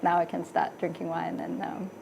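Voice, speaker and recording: Gravelly-voiced woman, halting and unhurried. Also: lowish voice, level tone, slight background noise. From Denmark. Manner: hesitant, many ums, laughs softly. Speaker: restaurant wine buyer. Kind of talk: casual podcast introduction.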